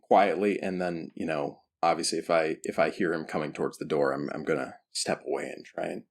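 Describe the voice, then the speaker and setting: A man's voice: very deep voice, fairly even intonation, brisk. A man chatting casually as a player in a tabletop role-playing game, wry and jokingly defensive.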